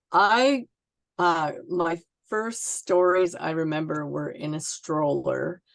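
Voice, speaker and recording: Woman in her sixties, Canadian accent, low gravelly voice, chatty storyteller, casual interview. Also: fairly even intonation, slow pace. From Ontario, Canada. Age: 60s. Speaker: author being interviewed.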